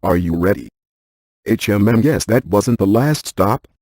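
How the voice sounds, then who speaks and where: An actor playing a brainy, cautious paranormal scientist in a video game, speaking in a deadpan, precise, technical manner. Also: male voice, lowish voice, a little slow, breathy edge, no room sound, heavily acted. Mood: dry, focused. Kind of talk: scripted video game character lines.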